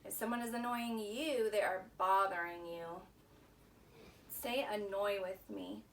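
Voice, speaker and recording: A woman's voice: mid-range voice, measured pace, fairly bright tone. A woman teacher leading story time for young children, prompting them and repeating words for them to echo. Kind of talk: instructional talk to young children. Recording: distant mic with faint background noise.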